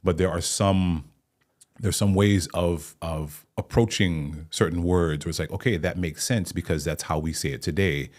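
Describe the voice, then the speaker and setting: A man from Hawaii with a very low, dark voice talks through his ideas as a guest on a podcast. He is reflective and unhurried, and he often restarts his sentences. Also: steady tone. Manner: thoughtful, explains at length with restarts. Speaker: guest actor and playwright. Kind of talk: conversational podcast interview.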